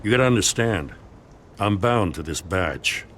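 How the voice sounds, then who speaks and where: An actor playing an honest, world-weary middle-aged American police lieutenant in a video game, talking bluntly and plainly. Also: male voice, sits low, lively intonation, unhurried, a bit bright, slight background noise. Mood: firm, blunt. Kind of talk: dramatic video game acting.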